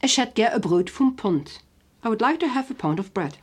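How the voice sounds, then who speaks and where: A woman's voice: a little high, expressive, moderate pace, husky. A woman teaching in a scripted language lesson, giving examples carefully word by word in a didactic manner.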